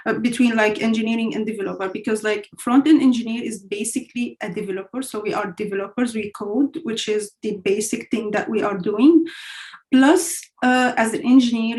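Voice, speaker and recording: A woman's voice: a little high, a little mellow, low-quality recording. Young Syrian-born woman, chatty English. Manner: chatty, non-native phrasing, many fillers. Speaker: guest, front-end engineer. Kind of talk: casual interview answers.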